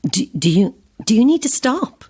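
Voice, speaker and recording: A woman playing a defiant, alarmed actress in a horror audio drama, speaking in an agitated, halting, snapping way. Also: fairly high pitch, very animated, darkish tone, slightly rough. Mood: alarmed, confused. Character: defiant, alarmed actress trapped at a sinister castle show.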